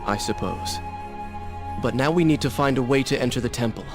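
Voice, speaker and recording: An actor voicing a weary, sardonic young prince in a video game, talking in a dry, sarcastic way. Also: male voice, mid-range voice, fairly quick, close to an everyday voice. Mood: wry. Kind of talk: game cutscene acting. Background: game music.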